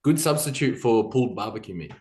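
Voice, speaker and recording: An Australian man with a fairly low voice chats as a podcast guest. He talks in an animated, joking way, warming to the conversation. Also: brisk. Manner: animated, chatty, jokey. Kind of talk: casual chat.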